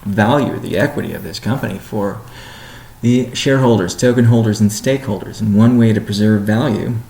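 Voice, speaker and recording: A man, a company chief executive, answering community questions in a measured, deliberate, businesslike way on a weekly conference call. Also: fairly low pitch, vocal fry, warm tone, roomy sound.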